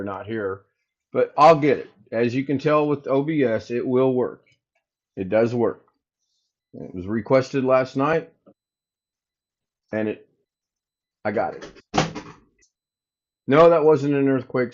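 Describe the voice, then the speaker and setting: A man with a booming voice hosting a casual livestream, chatting with viewers and thinking aloud as he troubleshoots. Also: a little mellow, highs cut off. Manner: rambling, thinks aloud while fixing tech. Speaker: livestream host. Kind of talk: casual live chat with viewers.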